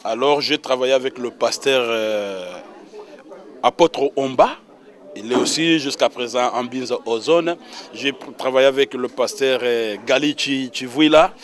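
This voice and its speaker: A man's voice: medium pitch, unhurried. A man with a Congolese accent, a church minister recounting his calling in a religious interview. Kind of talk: personal testimony in a religious interview.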